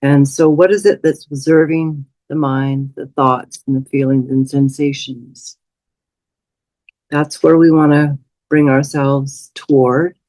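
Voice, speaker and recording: Slow, even-toned woman guiding meditation. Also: low voice, no room sound, rough recording. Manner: soothing, unhurried, with long pauses. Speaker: meditation teacher. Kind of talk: guided meditation.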